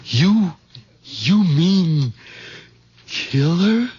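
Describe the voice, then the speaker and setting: An actor playing a meek, henpecked middle-aged bank clerk with an American accent, hesitant and stammering, in 1970s radio drama. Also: male voice, sits high, animated, extremely slow, darkish tone, a little hiss or hum, exaggerated voice. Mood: flustered, nervous. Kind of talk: 1970s radio drama acting, with first-person narration. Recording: dull, muffled 1970s radio recording.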